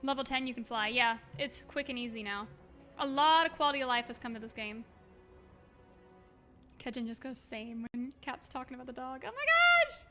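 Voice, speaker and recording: A woman chatting casually on a game livestream, expressive and affectionate, reading messages aloud, with a slightly hoarse voice. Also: sits high, normal speed. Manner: expressive, exclaims often, gushes affectionately. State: slightly hoarse, by own account. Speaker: livestream host. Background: music.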